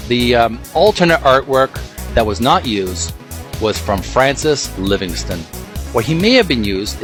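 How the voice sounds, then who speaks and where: A man hosting a casual read-aloud for viewers, describing details piece by piece in a halting, deliberate way. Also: medium pitch, a bit bright. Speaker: host of a retro gaming channel, reading from a book.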